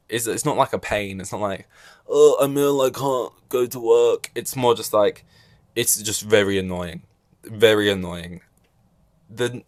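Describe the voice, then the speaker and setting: A man's voice: fairly low pitch, no echo, studio quality. A young man hosting a casual solo podcast, rambling with wry asides and a slightly scratchy throat. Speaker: podcast host.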